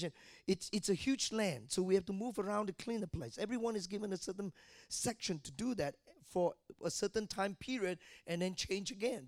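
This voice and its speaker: Male preacher from Malaysia, very high voice, dry close sound, asks the crowd questions. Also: dry recording. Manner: asks the congregation questions often. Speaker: pastor. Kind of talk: sermon.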